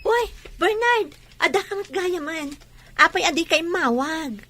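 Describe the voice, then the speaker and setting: A woman's voice: extremely high pitch, animated, a little slow, sharp tone, heavily acted. A woman playing a friendly, admiring young woman in an Ilocano radio drama. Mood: playful.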